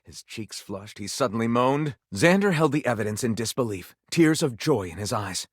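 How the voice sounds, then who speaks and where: A grandiose storyteller from a video game, swooping dramatically through lurid, absurd melodrama. The delivery is highly animated and suggestive, with big swings in pitch, recorded close and very dry. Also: male voice, moderate pace, husky, no echo. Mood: melodramatic, gleeful. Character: theatrical, salacious storyteller narrating absurd melodrama. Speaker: game character voice actor. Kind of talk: dramatic scripted narration.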